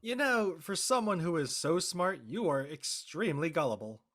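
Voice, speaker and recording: Animated, blunt teenage boy played by a man. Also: a little high, normal speed. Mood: blunt, skeptical. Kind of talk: casual live script reading.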